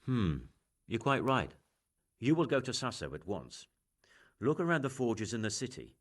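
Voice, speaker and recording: An actor playing a shrewd, learned official in a video game, musing and authoritative. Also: male voice, medium pitch, very animated, moderate pace. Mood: brisk, authoritative. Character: learned, shrewd male official leading an investigation.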